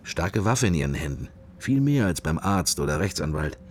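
A man's voice: extremely low pitch, big pitch swings, normal speed, a little hiss or hum. An actor playing a wry, sceptical middle-aged man murmuring his fragmentary private thoughts in a radio drama. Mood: sceptical musing. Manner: murmured, fragmentary, associative musing. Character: musing middle-aged man, wry, sceptical, worldly, silently observing church. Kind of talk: radio drama interior monologue.

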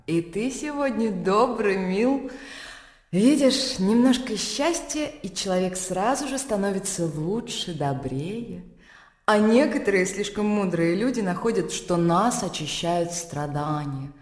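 Actress as an ironic widow in echoing reverb. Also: female voice, medium pitch, expressive, measured pace, lightly acted. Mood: contented, frank. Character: worldly, ironic young widow, freed and openly egoistic. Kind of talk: Russian classic radio drama acting. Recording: very echoey hall-like reverb.

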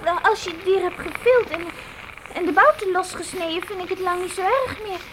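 A woman's voice: extremely high pitch, fairly quick. Little pioneer girl: tiny piping voice, tender and squeamish, noisy vintage radio-play sound. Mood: matter-of-fact. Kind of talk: radio drama acting. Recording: noisy old radio drama recording.

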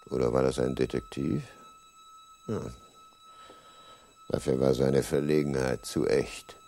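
A man's voice: darkish tone, slight background noise, heavily acted. An actor playing a suspicious middle-aged bookseller in a radio play, curt and guarded, muttering his thoughts to himself. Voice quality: deep gravelly voice.

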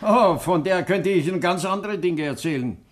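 A sneering male villain in an old German radio thriller. His voice sits high and swings widely as he goads and gloats with cackling, bitter amusement. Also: animated, normal speed. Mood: sly, incriminating. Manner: mocking, cackling, goading. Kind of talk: 1960s German radio crime drama acting.

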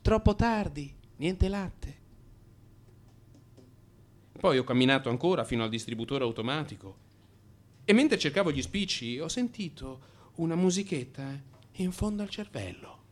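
A man's voice: a little high, animated, a little slow, long pauses. A man narrating a theatrical first-person radio drama monologue, dramatic and changeable in his delivery.

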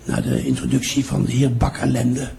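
A man's voice: mid-range voice, level tone, gravelly, mellow, a little hiss or hum. A man playing an amiable, muddled elderly father who rambles, in a radio play. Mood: rambling. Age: older. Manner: rambling, slow to get to the point, amiable.

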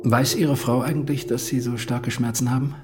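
An actor playing a concerned family doctor who questions in a measured way, in a radio crime drama. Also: male voice, medium pitch, fairly even intonation, heavy background noise, close to an everyday voice. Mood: concerned, firm.

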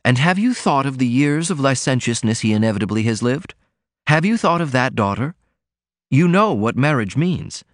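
A man reading aloud, voicing a proper middle-aged mother who speaks in measured, disapproving tones. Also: medium pitch, sing-song, dry recording, studio quality, pitched above the performer's own voice, natural voice. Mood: stern.

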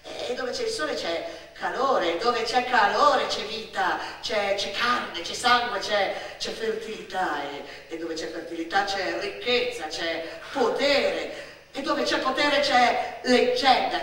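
A woman performing a theatrical narrative monologue, animated and emphatic, building through repetition and lists. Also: on the high side. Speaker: stage actress and storyteller. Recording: very echoey theatre-like space, distant mic.

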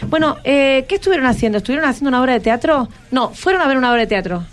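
A woman's voice: high-pitched, expressive, rapid-fire. A woman hosting a radio show in a studio, talking conversationally and guiding guests with encouraging questions. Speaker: radio host. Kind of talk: conversational interviewing of students. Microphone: studio microphone. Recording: music behind the voice.

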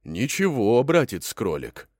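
A man plays a good-natured mother cow from a storybook. She answers in a homely, slightly grumbling way, with an expressive rise and fall and a close, dry sound. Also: mid-range voice, takes it slowly, no room sound, full character voice. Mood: placid. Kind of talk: fairy-tale character voice.

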